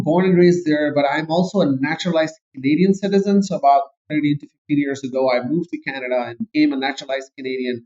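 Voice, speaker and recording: A man's voice: fairly high pitch, darkish tone, highs cut off. A man with a Pakistani accent, a guest chatting eagerly and rambling with self-deprecating jokes. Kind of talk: casual interview chat.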